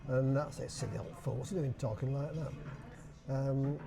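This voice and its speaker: A man's voice: mid-range voice, unhurried, creaky, over music. An older man from Bradford with a Yorkshire accent, chatting and explaining in an interview. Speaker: dialect society chairman, guest. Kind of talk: casual interview.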